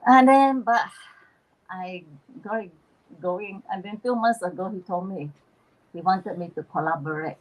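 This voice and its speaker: A woman's voice: mid-range voice, measured pace, poor audio. A woman with a Malaysian accent, a guest telling her story in casual chat on a video call and laughing often. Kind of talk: casual chat on a live stream.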